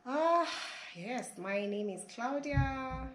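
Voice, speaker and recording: Hoarse Kenyan woman in her forties. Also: high voice, animated, slow, echoey room, slight background noise. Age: 40s. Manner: hesitant, restarts, earnest. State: hoarse from a flu. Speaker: channel host, interviewee. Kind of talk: casual interview. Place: her family home in a village.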